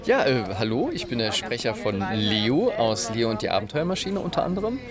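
A man chatting casually and a little haltingly in an interview. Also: medium pitch, big pitch swings. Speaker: voice actor, interviewee. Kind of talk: casual chat. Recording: loud crowd noise at event.

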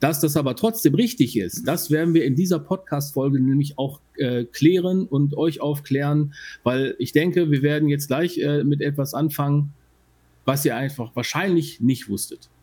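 A man's voice: a little high, moderate pace, a little mellow. A man with a German accent co-hosting a podcast from his living room, explaining things in casual conversation. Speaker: podcast co-host.

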